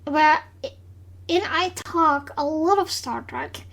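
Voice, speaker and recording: Dutch woman hosting her podcast, slow and kinda halting, lots of pauses. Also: high-pitched, gravelly, fairly bright tone. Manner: hesitant, halting, with frequent pauses. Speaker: podcast host. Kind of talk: solo episode recap.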